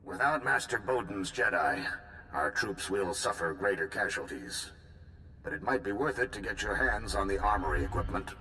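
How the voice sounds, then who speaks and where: An actor playing a blunt male supreme commander in a video game, brisk and authoritative. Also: lowish voice, lively intonation, sharp tone, slight background noise, low-quality recording. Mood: commanding. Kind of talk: game voice acting.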